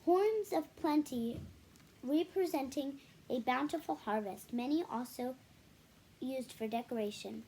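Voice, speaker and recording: High-voiced woman reading to children. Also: unhurried, mellow, slight background noise. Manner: explanatory, checking in with young listeners. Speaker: read-aloud storyteller for children. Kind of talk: reading a children's picture book aloud with casual asides.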